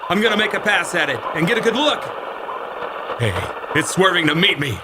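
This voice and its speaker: A man's voice: high-pitched, big pitch swings, fairly quick, heavy background noise. An actor playing a bold, focused male rocket plane test pilot, giving clipped technical reports in old-time radio drama style. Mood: excited.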